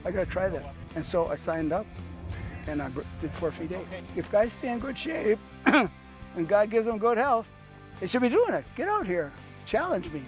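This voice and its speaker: Man in his 80s, high voice, folksy and challenging, masters athlete, music under the voice. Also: big pitch swings, moderate pace. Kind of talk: documentary interview. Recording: interview under background music.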